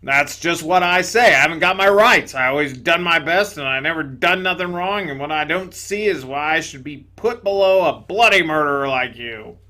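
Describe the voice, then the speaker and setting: A man putting on a loud, aggrieved big ghost. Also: a little high, expressive, moderate pace, few pauses. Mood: blustering. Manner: loud, self-righteous, ungrammatical working-class talk.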